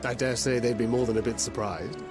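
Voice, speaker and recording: Casting: male actor as young fantasy-game scholar, mid-range voice, wry and well-mannered, measured diction, music behind. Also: mid-range voice, steady tone, lightly acted. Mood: wry, warm. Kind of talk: scripted video game acting.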